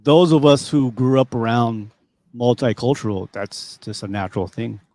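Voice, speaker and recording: A man's voice: mid-range voice, moderate pace. Rambling Californian man hosting a chat. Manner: relaxed, rambling, many fillers. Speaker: podcast host. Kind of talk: casual chat.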